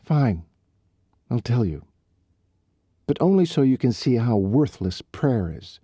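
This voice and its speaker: A man's voice: medium pitch, big pitch swings, slow pace, dark tone, slightly rough, dry recording, studio quality, voice pushed up, full character voice. A man voicing a fiery, sarcastic young woman rodeo rider who puts on a lilting foreign accent. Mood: heated. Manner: sarcastic, heated.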